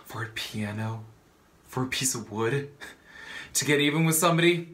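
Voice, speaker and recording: A man's voice: mid-range voice, lively intonation, normal speed, a bit bright, close to an everyday voice. A young man performing a dramatic stage monologue as a bitter, grieving, accusing family member, with emphatic repetition. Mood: accusing anger. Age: young adult. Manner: emphatic, repeating phrases. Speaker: student actor. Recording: roomy echo with background noise.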